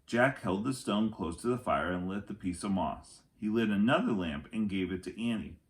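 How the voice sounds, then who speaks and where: A man with a lowish voice reads a children's chapter book aloud. His delivery is very animated, with deliberate, dramatic phrasing. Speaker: read-aloud storyteller.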